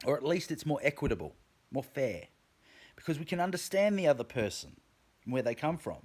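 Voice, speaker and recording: A man rants straight to camera, his fairly high voice leaping up and dropping down as he hammers each point. He swears constantly and trips over his words, restarting phrases as he pushes through. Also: sing-song, fairly quick, darkish tone. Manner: emphatic, heavy swearing, stumbling restarts. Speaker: vlogger. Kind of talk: profane opinion rant to camera.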